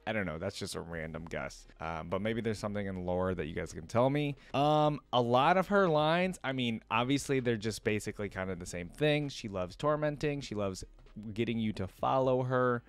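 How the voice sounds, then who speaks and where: A man's voice: lowish voice, expressive, moderate pace, clear, resonant tone, no room sound. A man in his twenties chatting casually to viewers as a reaction video host, rambling and tentative.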